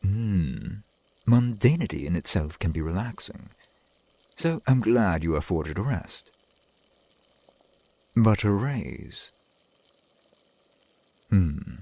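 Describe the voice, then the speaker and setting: A man plays an ancient vampire boyfriend in an intimate ASMR roleplay. His low, husky male voice swings expressively through genteel, archaic phrasing, full of proud adoration. The sound is close and dry. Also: big pitch swings, takes it slowly, long pauses, dark tone, no room sound, exaggerated voice. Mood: affectionate. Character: courtly centuries-old vampire boyfriend, adoring and proud.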